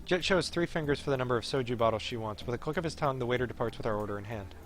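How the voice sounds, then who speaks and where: A man's voice: mid-range voice, rapid-fire. A man streaming a visual novel, reading the story aloud as live commentary. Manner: puts on voices for the characters. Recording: music behind the voice.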